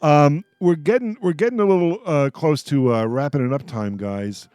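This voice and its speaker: A man's voice: fairly high pitch, moderate pace, a little mellow, smooth, dry recording, clean studio sound. A man hosting a casual panel discussion on the radio. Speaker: radio show host. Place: radio studio.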